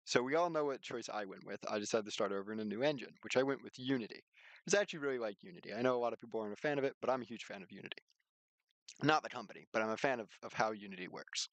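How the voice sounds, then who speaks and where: A man's voice: medium pitch, very fast, a bit bright, dry recording, rough recording. Casual male streamer, close dry sound. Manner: rambling, informal, slangy. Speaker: live streamer and game developer. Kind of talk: casual livestream chat and explanation.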